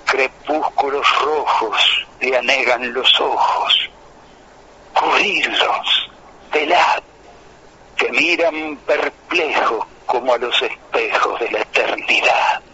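A man calling in to a radio show, reciting poetry slowly and earnestly. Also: medium pitch, pushed and bright, slightly rough. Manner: earnest, lingering on lines. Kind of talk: slow, emotive poetry recitation. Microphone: telephone. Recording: tinny phone line with faint noise.

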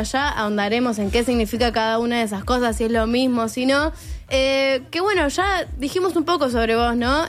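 A woman with a Rioplatense accent hosts a radio interview, asking her guest thoughtful questions. Her voice sits slightly high and stays friendly throughout, and she laughs often. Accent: Rioplatense Spanish. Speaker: radio show host.

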